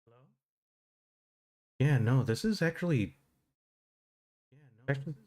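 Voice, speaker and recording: A man's voice: mid-range voice, lively intonation, slow. A man streaming live, casually testing his microphone and muttering to himself as he checks the sound. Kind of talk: casual mic check on a livestream. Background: soft instrumental background music.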